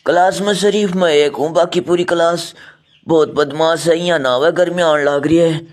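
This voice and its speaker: A man's voice: a little high, steady tone, normal speed, lightly acted. A man playing a cheeky twelfth-grade schoolboy in a comedy skit, speaking with a Rajasthani accent. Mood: sly, cheeky. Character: cheeky male twelfth-grade student bargaining with his principal. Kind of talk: comedy skit dialogue in dialect.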